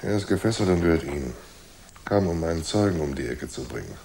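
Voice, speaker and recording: Deadpan noir tough guy, low mellow voice, faint noise behind, radio drama. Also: quick pace, vocal fry, full character voice. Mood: dry, laconic. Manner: dry, deadpan, laconic. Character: wry, tough, laconic man held at gunpoint. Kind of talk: German radio crime drama acting.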